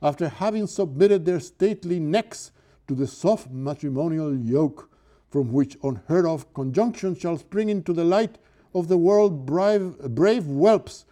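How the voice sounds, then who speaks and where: A man's voice: on the high side, lively intonation, measured pace, mellow. A man with a Cuban accent reading aloud a village barber posing as a prophet, in dramatic, affected tones. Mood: grandiose. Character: village barber playing a grandiose prophet, male.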